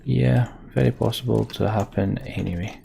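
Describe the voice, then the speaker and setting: A man's voice: on the low side, fairly even intonation, moderate pace, creaky, warm tone, a little hiss or hum. A man doing casual live commentary on a video game, rambling and thinking aloud as he plays. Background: game music, keyboard typing.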